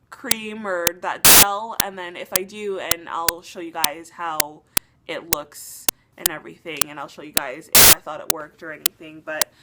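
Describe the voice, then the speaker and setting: Young woman, casual vlogger, chatty and rambling, slightly sick, mid pitch, loud background noise. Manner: chatty, many fillers and restarts. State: slightly sick, losing her voice. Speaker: beauty vlogger. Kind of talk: casual rambling hair review.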